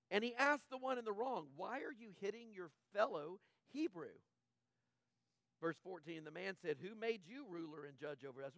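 High-voiced man preaching. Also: a bit bright, no room sound. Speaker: pastor. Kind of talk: sermon.

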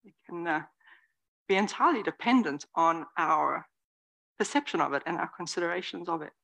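A woman in her forties gives a dharma talk in an easy, conversational way, her voice sitting a little low for a woman. She keeps it lively and drops into soft whispers for emphasis. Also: brisk, creaky, poor audio. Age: 40s. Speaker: Zen teacher giving a dharma talk. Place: meditation hall before a live audience.

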